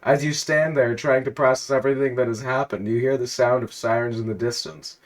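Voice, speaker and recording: A man's voice: mid-range voice, steady tone, a little fast. A man telling a comedic story in the second person with dramatic narration.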